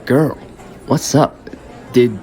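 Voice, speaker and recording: An actor in an amateur radio drama playing a casual, frank, sassy young student debater. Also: male voice, mid-range voice, extremely slow, mellow, soft background music, a faint crowd. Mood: concerned.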